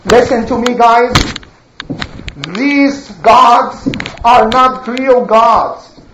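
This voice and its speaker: A woman's voice: on the high side, very slow, fairly bright tone, a little hiss or hum. A woman voicing an earnest old male prophet who pleads with his people, in a roomy, slightly dull recording. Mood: pleading.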